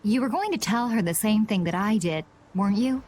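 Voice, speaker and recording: An actress playing a composed young woman adventurer in a video game, calm, guarded and lightly teasing. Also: medium pitch, a little fast, a little hiss or hum, close to an everyday voice. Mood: coolly teasing.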